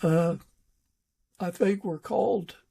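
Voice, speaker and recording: Male podcast host, higher-pitched with a relaxed delivery, halting, conversational. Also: creaky, slightly rough. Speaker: fiction author. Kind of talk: casual interview chat.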